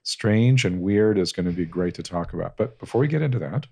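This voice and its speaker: A man's voice: low-pitched, expressive, brisk, vocal fry, mellow, breathy edge. A man co-hosting a podcast with his wife, chatting casually and playfully. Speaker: podcast co-host. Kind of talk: casual chat. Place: home, Southern California.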